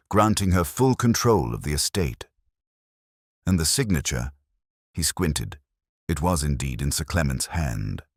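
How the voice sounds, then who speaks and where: A man narrating a Victorian detective story in a measured, dramatic storytelling manner. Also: very deep voice, no room sound, clean studio sound. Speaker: audiobook narrator.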